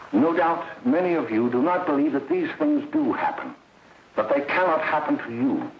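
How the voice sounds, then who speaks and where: A stern, alarmist doctor orating in a 1930s propaganda film. His highish voice rises and falls emphatically, grave and melodramatic, on a muffled, echoey old soundtrack with faint noise. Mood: ominous, emphatic. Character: stern, alarmist doctor lecturing parents on drugs.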